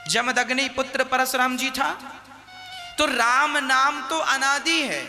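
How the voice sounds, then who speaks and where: Man, preacher, slow deliberate sermon, soft violin underneath. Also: extremely high pitch, fairly even intonation, in a large hall, faint music behind. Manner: rhetorical questions, scolding and exhorting listeners. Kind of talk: devotional sermon.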